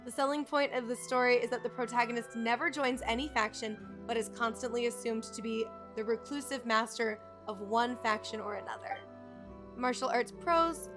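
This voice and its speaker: A woman with a fairly high voice streams a video game. She chats casually and reads story text aloud, with game music behind her.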